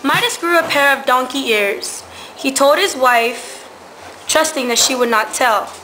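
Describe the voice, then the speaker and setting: High, bright young woman storyteller. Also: a little slow, soft background music, low-quality recording. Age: teenager. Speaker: narrator. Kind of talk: scripted storytelling narration. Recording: amateur recording with faint noise.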